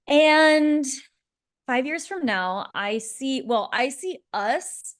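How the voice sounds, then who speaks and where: A woman's voice: high voice, animated, very slow. A woman in her thirties co-hosting a podcast, talking in a bubbly, rambling way with frequent laughs and restarts. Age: 30s. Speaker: podcast co-host. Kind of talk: casual podcast chat. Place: San Diego.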